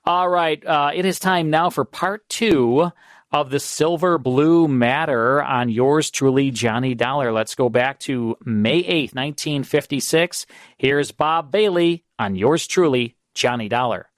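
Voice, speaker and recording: A man's voice: mid-range voice, measured pace, dry recording, clean studio sound. A man with an American accent hosting a radio programme in a casual, chatty style. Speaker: host of an old-time radio programme.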